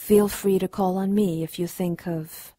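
A woman's voice: on the low side, fairly even intonation, measured pace, mellow, dry recording. A woman playing an imperious, seductive demon in a radio drama, haughty and menacing. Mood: silky, sly.

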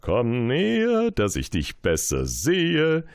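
A man playing a pompous old king in a storybook reading, handing out loud, drawn-out decrees. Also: mid-range voice, wildly swooping pitch, vocal fry, no echo, studio quality, voice pushed up, full character voice. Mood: grand.

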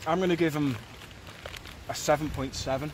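Wind noise runs clearly through this phone recording of a British man out walking. He talks casually to the camera in a slightly higher than usual male voice. It is an informal outdoor hiking vlog, held at arm's length. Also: steady tone, moderate pace. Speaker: hiking vlogger. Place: outdoors on woodland and open windy fells. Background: wind buffeting the mic.

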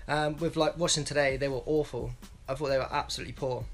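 A man's voice: medium pitch, fairly even intonation, fast. A young man in his twenties giving a blunt, rambling football opinion vlog from a small room at home on an earphone mic. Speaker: football pundit vlogger. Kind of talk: casual solo football analysis vlog. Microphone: wired earphone mic.

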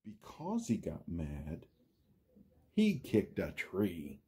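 A man with a mellow, lowish voice reads a picture book to young children. His delivery is theatrical and hugely varied in pitch, with stretched words and dramatic pauses. He talks to the children directly, as a schoolteacher would. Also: wildly swooping pitch, breathy edge. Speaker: storyteller, reader to young children. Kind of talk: animated children's picture book read-aloud.

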